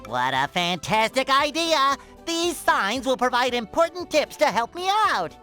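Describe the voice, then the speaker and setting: A man's voice: very high-pitched, very animated, measured pace, bright, forceful tone, exaggerated voice. A man voicing a childlike, eager and naive sea sponge in a cartoon video game, earnest and gleeful. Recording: music bed behind voice.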